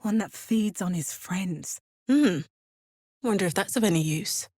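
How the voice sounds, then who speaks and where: Casting: wry female adventurer for a fantasy RPG, measured and understated, mid-range voice, very dry studio sound. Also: mid-range voice, animated, a little mellow, husky, no room sound. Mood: musing, wry. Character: adventurous, wry woman hero of a fantasy game. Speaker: video game voice actor. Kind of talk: scripted fantasy game dialogue.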